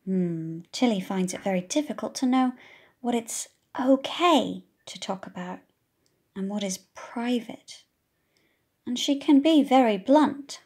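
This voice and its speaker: A woman's voice: fairly high pitch, lively intonation, unhurried, a little mellow, breathy edge. A woman reading a children's story aloud expressively, with engaging, questioning asides like a teacher talking to young listeners. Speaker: audiobook reader for children.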